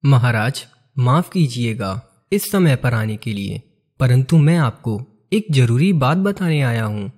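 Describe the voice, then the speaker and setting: A man's voice: mid-range voice, lively intonation, unhurried, a little mellow. A deferential royal minister from a cartoon fable, played by a man. His mid-range voice is earnest and formal, with light music in the background. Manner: respectful, formal address. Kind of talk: cartoon moral-story voice acting.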